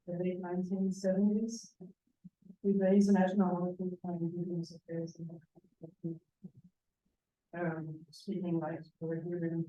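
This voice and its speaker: A woman from Denmark, an anthropologist introducing herself in a matter-of-fact way. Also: lowish voice. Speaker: anthropologist, university administrator. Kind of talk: self-introduction. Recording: dull lo-fi sound.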